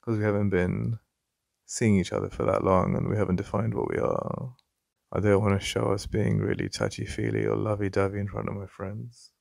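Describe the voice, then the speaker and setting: A man with an English accent playing a jealous, possessive yet vulnerable boyfriend in an intimate ASMR roleplay, halting and conversational with a gravelly voice. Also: on the low side, lively intonation, a little mellow, no echo, clean studio sound. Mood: calming, vulnerable. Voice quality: gravelly, creaky. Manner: halting, repeating himself, conversational. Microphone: close, dry studio-style mic.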